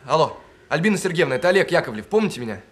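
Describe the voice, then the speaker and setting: An actor in an audio drama playing a guilt-ridden bomb technician on the phone, speaking haltingly and earnestly. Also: male voice, fairly high pitch, big pitch swings, a little hiss or hum. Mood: anxious, pleading. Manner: halting, earnest, apologetic, with stammered restarts. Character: guilt-ridden male bomb defuser saying goodbye by phone.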